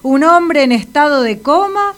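A woman's voice: sits high, expressive, measured pace. A woman playing a stern, haughty, indignant doctor in a comic audio drama.

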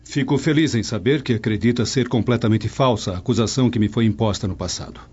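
A male actor plays a dignified Roman nobleman of a radio drama. His voice is fairly low and his speech formal and earnest, heard on a slightly dull recording. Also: fast talker, a little mellow, slightly rough. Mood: earnest, reflective. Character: dignified Roman nobleman, wronged in youth, traditional father. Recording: dull lo-fi audio.

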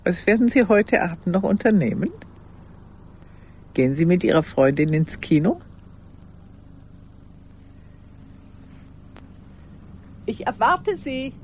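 An older woman acting in a radio drama monologue as a lonely old woman who speaks formally, haltingly and insistently. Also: mid-range voice, big pitch swings, darkish tone, slight background noise, close to an everyday voice. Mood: probing. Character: lonely old woman confiding memories to a young lawyer.

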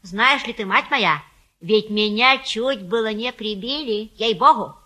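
An actress playing a garrulous, folksy old Russian matchmaker in a stage comedy, coaxing and boasting theatrically. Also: female voice, fairly high pitch, unhurried, pushed and bright. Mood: breathless complaining. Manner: folksy, garrulous, effusive, sing-song coaxing. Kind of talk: theatrical stage-comedy acting of a classic play.

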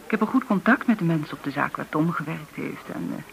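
A woman's voice: low-pitched, fast, vocal fry, slight background noise, low-quality recording. An independent middle-aged woman in a naturalistic radio drama. She talks candidly and familiarly, in an everyday conversational voice, as if to an old friend. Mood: frank, concerned.

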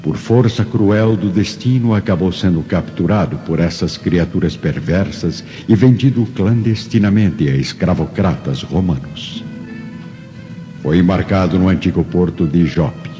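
A man's voice: deep voice, unhurried, mellow. A man giving solemn, theatrical scripted narration for a drama. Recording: muffled audio with faint music.

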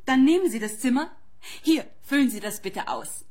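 An actress playing a brisk, sales-minded female hotel receptionist in a radio play, businesslike and questioning. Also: high voice, lively intonation, a little mellow, soft background music.

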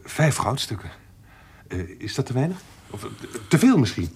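An actor playing a cunning fairground rogue in a Dutch audio drama, colloquial, stammering and haggling or servile by turns. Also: male voice, mid-range voice, sing-song, slightly rough, exaggerated voice. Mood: servile, evasive. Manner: colloquial, hesitant, halting with many stammers.

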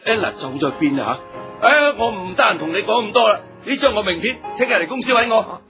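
A man's voice: high-pitched, lively intonation, a little fast, over music. A man acting a brash, hot-tempered, womanising businessman in a radio drama, scoffing and blustering. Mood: brisk, impatient.

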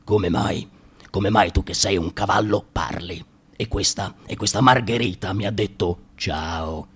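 A man voicing a grumpy, pedantic old spoilsport who keeps interrupting a fairy tale, speaking slowly and low. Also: husky, exaggerated voice. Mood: indignant. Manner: slow, low, grumbling, keeps halting the tale.

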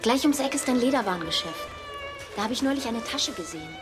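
An actress as a coaxing woman in a radio drama. Her voice is on the high side and eagerly persuasive. String music plays clearly behind her. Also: animated, quick pace, natural voice. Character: coaxing female acquaintance of Ida, urging a purchase. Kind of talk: German radio drama acting.

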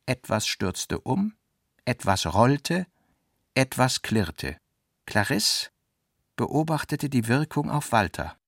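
Guy narrating a literary radio play, lots of fry in the voice, deliberate, dry close studio sound. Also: medium pitch, sing-song, no echo.

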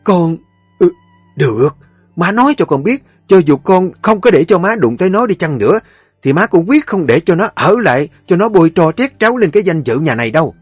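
A man voicing a stern old mistress of a household who barks orders in a shrill, commanding tone. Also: sing-song, fairly quick, no room sound, soft background music. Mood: scolding.

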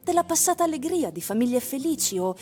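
A woman narrating a literary radio drama in measured, expository storytelling phrases. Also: sits high, very animated, darkish tone, faint music behind. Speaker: actress narrator.

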